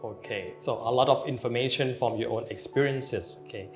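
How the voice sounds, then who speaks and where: Host: fast-talking Thai man, interviewer, roomy echo, soft music behind. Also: medium pitch. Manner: brief prompts and acknowledgements. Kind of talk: interview questions.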